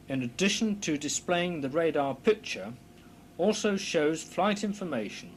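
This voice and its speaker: A man narrates an instructional film in a formal, precise way, with a slightly high-pitched voice. He explains things in measured, scripted phrases. A light noise and hum sit behind the voice throughout. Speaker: narrator of a technical training film. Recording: clear background noise with low hum.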